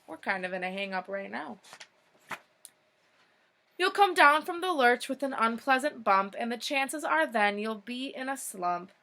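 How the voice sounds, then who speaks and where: A woman's voice: fairly high pitch, animated, unhurried, pushed and bright. A young woman, a teacher, reading a children's picture book aloud expressively, with short informal asides.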